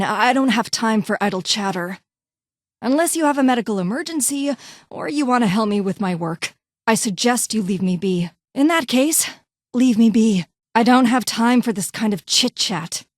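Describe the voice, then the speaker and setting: Woman acting as brusque female scientist-doctor, game dialogue, condescending, clipped and formal, impatient, mid-pitched, dry recording. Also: no echo, natural voice. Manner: clipped, haughty, formal phrasing. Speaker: voice actor in a game mod. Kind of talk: scripted game character dialogue.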